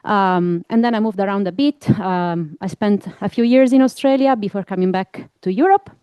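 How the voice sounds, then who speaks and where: A woman from Italy with an Italian accent, presenting her research at a conference in a relaxed, fluent way with soft fillers. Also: medium pitch, normal speed, clean tone, no room sound, highs cut off. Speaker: researcher giving a talk.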